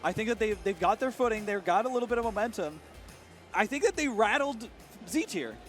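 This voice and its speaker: A man casting a live esports match at a big venue, excitable and fast with his calls, his voice worn and hoarse from shouting. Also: high voice, faint music behind. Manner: excitable, rapid play-by-play with exclamations. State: losing his voice. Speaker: esports commentator. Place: large tournament venue.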